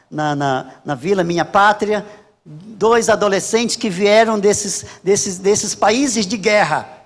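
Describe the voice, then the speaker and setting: A Brazilian man preaching a sermon as a Baptist pastor, emphatic and repetitive, with deliberate pauses. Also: high voice, long reverb tail. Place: large church hall during a service.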